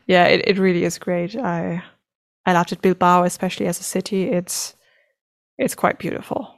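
A woman's voice: fairly low pitch, steady tone, normal speed, gravelly, long pauses. Woman chatting casually as podcast guest. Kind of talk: casual chat, travel anecdotes.

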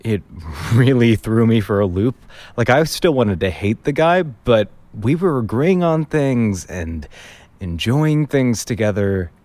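A man's voice: medium pitch, animated, a little slow, a little mellow, no room sound, lightly acted. A man playing a wry, grumbling young man in naturalistic audio drama, conversational and dryly sarcastic. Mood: hesitant, reflective.

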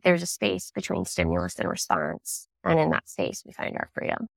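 A woman's voice: sits low, huge pitch swings, normal speed, vocal fry, dry recording, studio quality. A woman in her thirties, getting over a cold, answering interview questions in a thoughtful, earnest way. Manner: thoughtful, earnest, with small restarts. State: scratchy voice from a cold. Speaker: guest, clean energy startup leader.

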